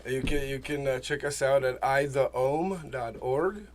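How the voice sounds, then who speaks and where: A man from the Czech Republic, a native Czech speaker, talking casually as an interview guest, halting, repeating himself and searching for words. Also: mid-range voice, steady tone, slight background noise. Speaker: interview guest, small business owner. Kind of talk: casual, personal interview talk.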